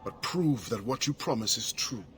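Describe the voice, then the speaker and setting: An actor playing a proud, principled assassin and ship captain, speaking in a steady, dry and formal way. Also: male voice, mid-range voice, normal speed, lightly acted. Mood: reserved. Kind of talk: video game cutscene acting. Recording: game cutscene with music behind.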